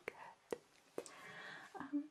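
A woman who is not a native English speaker, whispering softly and reassuringly in an ASMR roleplay as a gentle, friendly alien, with halting phrasing.

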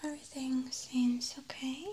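A woman whispering gently and reassuringly, unhurried and breathy, as a medical examiner in an ASMR roleplay on a close binaural microphone. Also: sits high, little pitch movement. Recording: faint background noise, close-miked whisper.

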